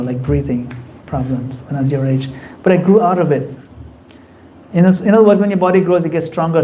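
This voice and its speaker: A man's voice: a little high, mellow, slight background noise, rough recording. A man giving a conversational temple class, acting out quoted voices as he explains. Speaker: spiritual teacher. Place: temple hall.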